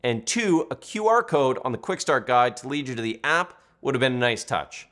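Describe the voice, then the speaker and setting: A man's voice: medium pitch, expressive, normal speed, fairly bright tone. A man reading a scripted product review as the reviewer and narrator.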